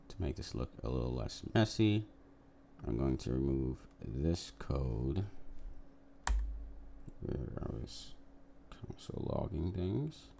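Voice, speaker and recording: A man with a very low, gravelly voice and an extremely soft, dark tone. He talks casually to his viewers, walking them through a software demo as a developer would. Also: slight background noise. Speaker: software developer making a video.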